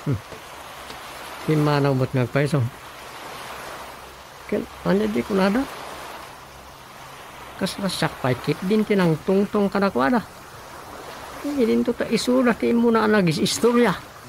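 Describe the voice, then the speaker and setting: A man's voice: high-pitched, a little slow, vocal fry, long pauses, noisy background. An actor playing an opinionated, talkative village councilman in a radio drama, with an Ilocano accent and a conversational, grumbling manner. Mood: puzzled. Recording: music bed under dialogue.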